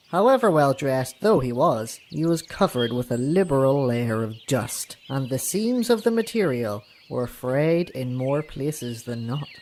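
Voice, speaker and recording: A man reading a scripted literary tale as a podcast storyteller, with a measured, formal delivery. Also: mid-range voice, a little mellow, slight background noise.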